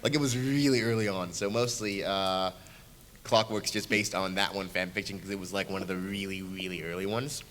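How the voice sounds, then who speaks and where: A man answers questions at a convention panel in a bright, forceful, fairly low voice. He rambles and jokes as he explains things. There is faint room noise behind him. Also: steady tone, brisk. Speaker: panelist, creator of an audio series.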